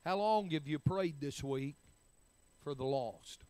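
A man's voice: a little high, takes it slowly, a little mellow, no room sound. A man, preaching a sermon in emphatic, rising bursts. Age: 40s. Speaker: pastor.

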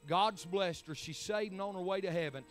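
A man's voice: sits high, normal speed, faint music behind. A man, preaching a sermon in emphatic, rising bursts. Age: 40s. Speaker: pastor.

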